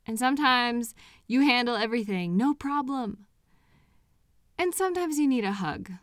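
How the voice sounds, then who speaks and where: A woman's voice: fairly high pitch, expressive, no room sound, clean studio sound, natural voice. A woman playing a loving, patient girlfriend in an intimate comfort roleplay, speaking to her anxious partner in a tender, reassuring manner. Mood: earnest, reassuring. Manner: tender, reassuring, unhurried pauses with sighs. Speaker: audio roleplay performer.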